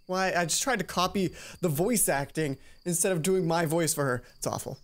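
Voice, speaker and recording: A woman doing casual game commentary and reading a visual novel aloud, chatty and self-deprecating, reacting out loud to the story. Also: lowish voice, normal speed. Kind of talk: casual game commentary and narration with character voices. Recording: music behind the voice. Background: game music.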